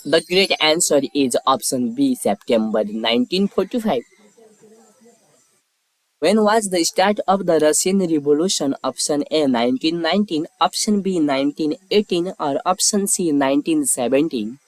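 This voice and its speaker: Higher-pitched man reading quiz questions. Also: animated, no echo, low-quality recording. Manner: formulaic, measured reading of questions and options. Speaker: quiz host. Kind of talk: scripted quiz narration.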